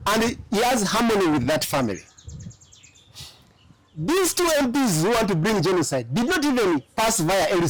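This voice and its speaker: Emphatic man arguing with lively pitch. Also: low-quality recording. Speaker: studio guest, civil rights lawyer. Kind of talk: studio political talk show.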